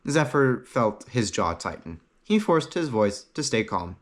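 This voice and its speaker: A man's voice: medium pitch, big pitch swings, unhurried. A man reading a fantasy story aloud as an audiobook narrator, in a dramatic, phrase-by-phrase way.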